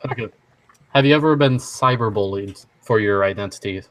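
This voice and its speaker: A young man from Washington state hosting a casual online chat, easygoing and quick to laugh as he reads quiz statements. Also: on the low side, slight background noise. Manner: easygoing, laughs often, halting restarts. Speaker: stream host.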